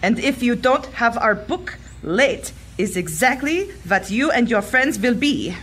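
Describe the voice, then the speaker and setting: A woman's voice: on the high side, lively intonation, measured pace, a bit bright, a little hiss or hum. A woman playing a haughty, ruthless villainess in a pulp adventure drama, imperious and formal. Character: haughty, ruthless German Nazi villainess, archaeologist.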